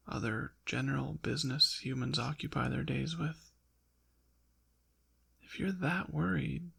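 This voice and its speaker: A man voicing a haughty, possessive ancient demon in an intimate ASMR roleplay, with formal, deliberate diction and a breathy, gravelly whisper. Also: a little high, fairly bright tone, full character voice. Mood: curious, dry. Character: possessive ancient male demon, haughty, teasing, quietly softening. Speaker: audio roleplay performer.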